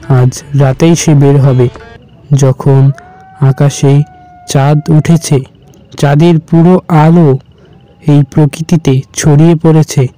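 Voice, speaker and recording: A man's voice: a little high, warm tone, rough recording. A man narrating a scripted horror story in a dramatic storytelling style.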